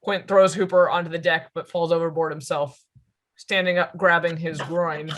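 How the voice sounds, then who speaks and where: A woman's voice: on the low side, steady tone, moderate pace, fairly bright tone, a little hiss or hum. A woman reading stage directions as scripted narration at a table read.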